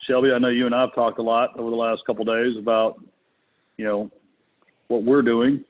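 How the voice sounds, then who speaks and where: A man talks through a thin, phone-like connection as host of a business call. His delivery is casual and unscripted. He pauses to think as he goes. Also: medium pitch, level tone. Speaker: conference call host, real estate investor. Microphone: conference call connection. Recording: muffled, narrow phone-line sound.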